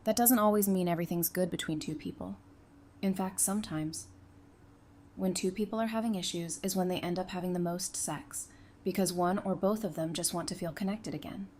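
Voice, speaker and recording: A woman's voice: fairly low pitch, fairly quick, darkish tone, lightly acted. An actress playing a candid, caring, perceptive woman who talks casually and frankly in a fan audio drama. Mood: figuring it out.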